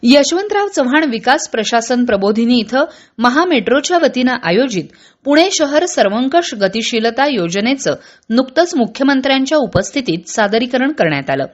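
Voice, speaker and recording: A woman from reading scripted radio news in a formal, measured manner. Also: fairly high pitch, big pitch swings, lo-fi sound. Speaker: radio newsreader.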